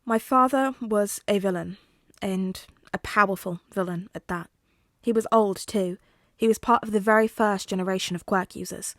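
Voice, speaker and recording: A woman playing a guarded father, speaking in a measured, formal way as in an audiobook story. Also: medium pitch, no room sound, studio quality, natural voice. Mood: grave, confiding.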